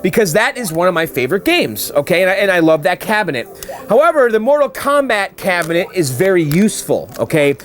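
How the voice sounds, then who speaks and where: A man's voice: high voice, big pitch swings, brisk, soft background music. A man hosting a casual show, answering viewer mail in a chatty way and often checking in with "okay?". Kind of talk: casual chat.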